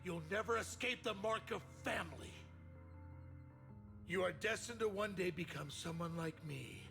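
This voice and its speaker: An actor playing a menacing, domineering noble villain in a video game, delivering grandiose and ominous pronouncements. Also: male voice, high-pitched, normal speed, vocal fry, over music. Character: menacing, domineering noble villain, uncle preaching ruthless strength.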